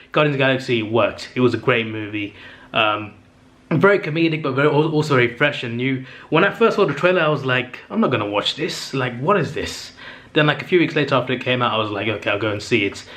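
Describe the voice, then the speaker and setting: A young man talks straight to camera in a loose, excitable ramble, stumbling over words and correcting himself as he goes. His voice sits at an ordinary middle pitch and sounds slightly worn, as if he is losing it. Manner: chatty and enthusiastic, with frequent fillers and self-corrections. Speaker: movie review channel host. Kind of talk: casual ranking monologue to camera.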